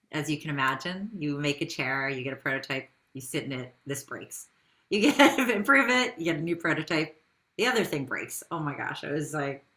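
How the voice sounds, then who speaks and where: A woman's voice: low-pitched, pushed and bright. A woman speaking as a business founder in an interview, telling her story in a conversational way with rhetorical questions.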